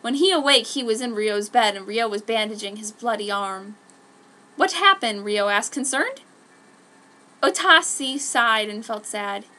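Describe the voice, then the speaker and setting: Bright-voiced woman giving a dramatic reading. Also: fairly high pitch, a little slow. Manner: theatrical, emphatic. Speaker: fan fiction reader. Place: a room at home. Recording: faint background noise, home room. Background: very quiet instrumental music, faint noise.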